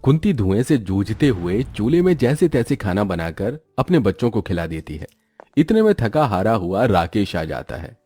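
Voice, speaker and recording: Mellow male cartoon story narrator. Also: lowish voice, very animated, normal speed, slightly rough, no room sound, faint music behind.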